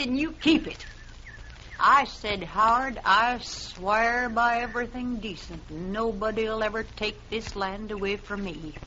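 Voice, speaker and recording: A stubborn frontier widow. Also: female voice, fairly high pitch, bright, forceful tone. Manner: defiant, clipped, scornful. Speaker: landowner holding a rifle. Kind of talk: 1950s radio drama acting. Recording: dull old radio recording with faint hiss.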